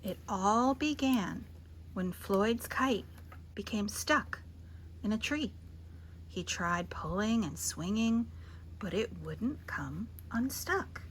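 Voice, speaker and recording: A woman reading children's picture books aloud as a storyteller, theatrical and expressive with dramatic pauses and emphasis. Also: mid-range voice, a little hiss or hum.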